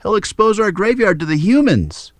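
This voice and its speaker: A man's voice: sits high, very animated, normal speed, no echo, voice pushed up. An elegant vampire lady acted out by a man takes charge in a children's storybook. The voice is lifted well above a man's usual talk and swings widely, with a bossy, commanding manner. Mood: alarmed, shrill. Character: elegant, bossy female vampire ordering monsters around.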